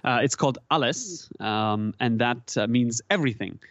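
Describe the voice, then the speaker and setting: Male musician guest, halting with restarts, dry close sound, faint music behind. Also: medium pitch, lively intonation, fairly bright tone, dry recording, lo-fi sound. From German-speaking Switzerland. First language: Swiss German. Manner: halting, full of restarts and repeated words. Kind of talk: casual interview answers.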